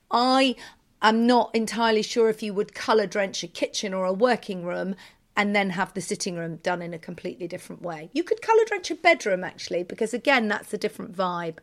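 A woman co-hosting a home design podcast, giving measured, decisive advice in casual chat. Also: medium pitch. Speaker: podcast co-host.

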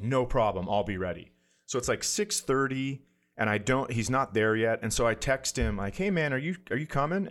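A man with a mid-range voice tells a funny personal story in casual, conversational language. He pokes fun at himself and slips into quick acted-out quotes along the way. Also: mid-range voice. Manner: self-deprecating, animated anecdote telling with acted-out quotes. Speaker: comedian podcast guest telling a story.

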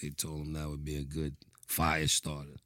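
A man's voice: extremely low pitch, completely flat delivery, moderate pace, bright, forceful tone, no room sound, studio quality. A man in casual interview talk, laid-back, often trailing off and repeating words before dropping to a mumble. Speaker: rapper guest.

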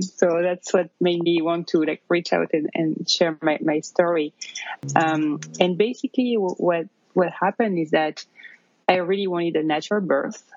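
A woman in her thirties from France with a French accent, telling her story in a casual interview, halting with many fillers. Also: fairly low pitch, breathy edge, no echo, highs cut off. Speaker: interview guest.

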